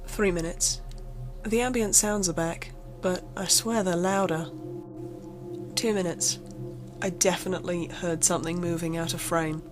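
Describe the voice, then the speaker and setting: A woman's voice: lowish voice, fairly even intonation, a little slow. A woman reading a first-person horror story aloud in a dramatic, suspenseful, acted-out way. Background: music.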